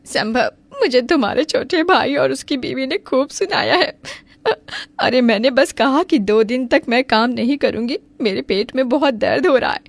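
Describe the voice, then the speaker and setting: A woman's voice: high voice, expressive, moderate pace, vocal fry, dry recording, exaggerated voice. A woman voicing a spiteful, scheming young married woman in a cartoon story, theatrical and nagging. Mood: fake tearful pleading. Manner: theatrical, scolding and falsely pleading. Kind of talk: Hindi cartoon story acting.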